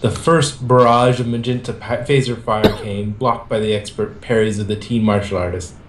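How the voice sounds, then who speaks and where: A man reading fan fiction aloud, narrating and acting out the dialogue in an expressive amateur way. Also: lowish voice, moderate pace, reverberant, background noise.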